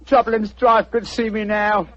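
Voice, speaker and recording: An actor playing a cheeky, chummy furniture remover with a Cockney accent, coaxing and full of slang, in 1940s radio acting. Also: male voice, high voice, level tone, normal speed, fairly bright tone, dry recording. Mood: cheery, coaxing. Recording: dull old radio recording.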